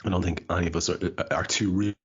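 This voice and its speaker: A deep-voiced man with a mild Irish lilt hosts a relaxed podcast chat, tripping over repeated words as he goes. His tone is low and a little husky, and the audio comes through dull and lo-fi. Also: fast talker. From Ireland. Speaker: podcast host. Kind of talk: casual chat.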